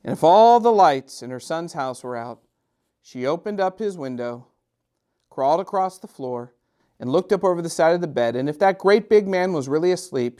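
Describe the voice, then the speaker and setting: A middle-aged man reads a picture book to children expressively, drawing out repeated phrases with gentle, dramatic emphasis. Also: on the high side, clear, resonant tone. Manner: gentle, drawn-out, sing-song repetitions with dramatic emphasis. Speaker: storytime host and children's author.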